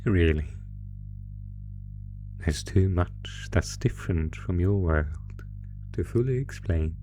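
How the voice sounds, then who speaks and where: A man voicing a sinister, sadistic monster from under the bed in a horror roleplay, taunting his prey in a deliberate, mock-genteel, theatrical way. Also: deep voice, animated, warm tone. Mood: ominous, amused. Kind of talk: horror roleplay audio, slow theatrical menace. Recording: music under the voice. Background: music.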